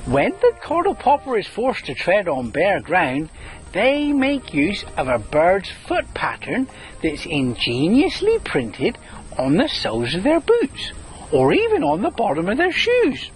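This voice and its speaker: A woman's voice: medium pitch, huge pitch swings, a little slow, rough recording. A woman narrating a children's storybook in a playful, teasing way, chuckling between lines. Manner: chuckling, teasing, sing-song emphasis. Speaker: storyteller and author.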